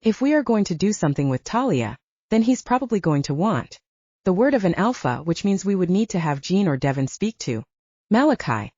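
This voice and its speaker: A woman's voice: on the low side, big pitch swings, a little fast, a little mellow, dry recording, dull audio. A woman voicing an easygoing, playful and reassuring young male werewolf in an audiobook. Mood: earnest.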